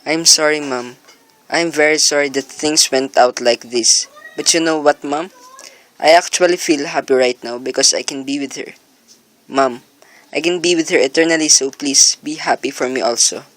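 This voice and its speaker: A man's voice: fairly high pitch, normal speed, fairly bright tone, dry recording, natural voice. A man playing an earnest young son in a scripted radio drama monologue. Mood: apologetic, tender. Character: earnest young son reading his farewell letter.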